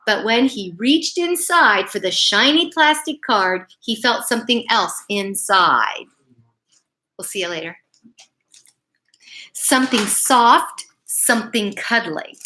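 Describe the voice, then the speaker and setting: Slow, bright, animated woman storyteller. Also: on the high side. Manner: deliberate, emphatic phrasing, addresses young listeners. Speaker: storyteller reading a picture book to children. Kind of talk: expressive read-aloud bedtime story with asides.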